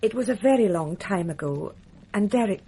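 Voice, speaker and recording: An actress playing a refined, wistful titled lady in a radio drama, speaking softly and confidingly. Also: medium pitch, animated, normal speed, noisy background, close to an everyday voice. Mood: poised.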